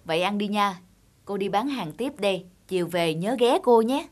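A woman's voice: medium pitch, lively intonation, normal speed, soft background music, natural voice. A woman playing a humble, kindly street cake vendor in a cartoon, with a coaxing manner. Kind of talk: cartoon dubbing.

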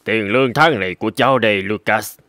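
A man's voice: lowish voice, fairly quick, sharp tone, no room sound, studio quality. A man voicing a frank middle-aged employer in a cartoon, speaking in a hesitant, confiding manner. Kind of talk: animated cartoon dialogue.

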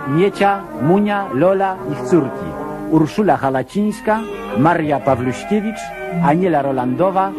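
A man reading a scripted radio cast announcement. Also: on the high side.

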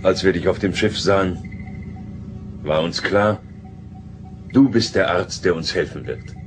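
An actor playing a grave alien leader of outcasts in a science fiction radio play. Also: male voice, a little slow. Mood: grave, calm. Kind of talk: sci-fi radio play acting. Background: music, low rumble.

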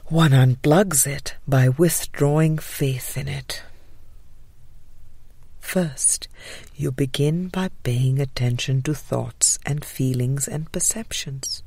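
Woman narrator, low register, unhurried pace, breathy with whispery endings, gentle meditative audiobook reading. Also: dry recording. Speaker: audiobook reader. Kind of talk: scripted spiritual audiobook narration.

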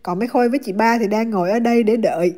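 Soft-toned southern Vietnamese woman vlogger, higher voice. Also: very fast. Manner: chatty, addresses viewers affectionately. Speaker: travel vlogger. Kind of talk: casual travel vlog narration.

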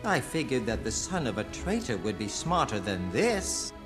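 An actor playing a haughty, scheming young general in a video game, mocking and deliberate in his accusations. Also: mid-range voice, very animated, music in the background, natural voice. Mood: smug mockery. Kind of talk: dramatic game cutscene acting.

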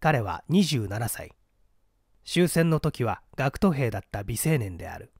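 A man's voice: mid-range voice, sing-song, unhurried, vocal fry, breathy edge, dry recording, studio quality. A man reading a mystery story aloud as a scripted literary narration. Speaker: audiobook narrator.

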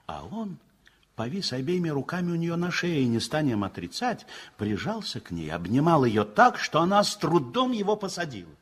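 An older man narrating a 1960s radio play in an expressive storytelling manner. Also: mid-range voice, breathy edge. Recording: old radio play with faint music.

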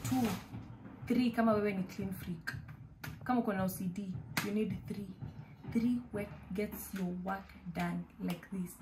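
A young woman with a Kenyan accent talks through a shopping haul in her home kitchen. She sounds chatty and animated, close to the camera, with steady noise under her voice. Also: medium pitch. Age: young adult. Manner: chatty and animated, mixing English with Swahili. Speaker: vlogger. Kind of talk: casual shopping haul chat. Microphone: camera in front at close range.

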